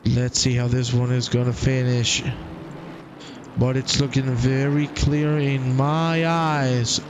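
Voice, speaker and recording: Male sim racing commentator, unhurried and a bit high, playful, peaks at the checkered flag; engine noise behind. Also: little pitch movement, lo-fi sound. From half Croatian. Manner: enthusiastic, jokey, swells loud and high at the finish. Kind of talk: live race commentary.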